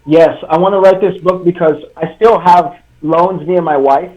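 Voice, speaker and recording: A young man from Congo, a native French speaker, talking as a guest author on a video call, earnest and halting. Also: fairly high pitch, fairly even intonation, darkish tone. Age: young adult. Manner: earnest, halting, many fillers and restarts. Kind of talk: live online interview. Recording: tinny video call line.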